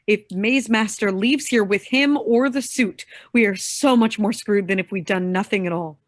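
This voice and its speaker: A woman's voice: medium pitch, moderate pace, no echo, clean studio sound, natural voice. A woman playing a blunt, exasperated young vigilante who knows her tech, in a staged play reading. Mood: urgent. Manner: blunt, insistent.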